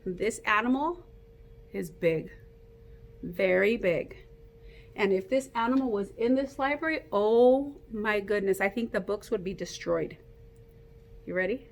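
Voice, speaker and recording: A woman reading a picture book aloud to children like a teacher, in an animated, playful way, coaxing young listeners to join in. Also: mid-range voice, takes it slowly, long pauses, a little hiss or hum.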